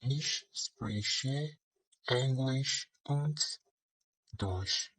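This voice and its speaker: A man playing a young man introducing himself in a scripted language-lesson dialogue, very deliberately, phrase by phrase. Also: mid-range voice, a bit bright, slightly rough, no room sound, exaggerated voice, rough recording. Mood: plain, measured.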